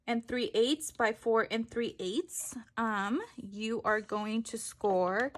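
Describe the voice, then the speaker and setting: A woman's voice: fairly high pitch, slow pace. A woman giving a casual step-by-step craft tutorial, informal, correcting herself and thinking aloud as she goes.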